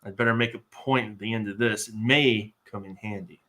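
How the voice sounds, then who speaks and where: A man's voice: lowish voice, fairly even intonation, fairly quick, bright, forceful tone. A man playing a jittery, boastful, bumbling would-be warrior in a comic live table read. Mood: smug warning. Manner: blustering, nervous, exaggerated.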